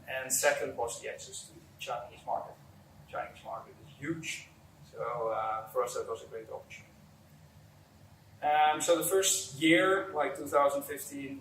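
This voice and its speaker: A man's voice: mid-range voice, a bit bright. Dutch-accented man, roomy distant recording. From Netherlands. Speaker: company executive, interview guest. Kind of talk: unscripted interview answers. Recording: roomy, distant mic, faint background noise.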